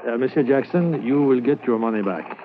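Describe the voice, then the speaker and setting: An actor playing a kindly, hopeful constable who speaks halting English mixed with words, in a 1950s radio drama. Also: male voice, medium pitch, expressive, natural voice. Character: French-Canadian Mountie constable, kindly, hopeful. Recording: tinny old radio transcription, hiss.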